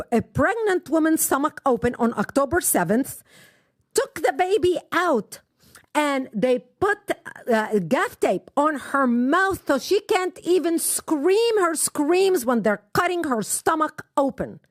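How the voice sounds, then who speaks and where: A woman's voice: high-pitched, sing-song, normal speed, no echo. A middle-aged woman from Lebanon, a guest telling personal stories with impassioned emphasis, recorded close on a studio mic. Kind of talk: personal testimony in an interview.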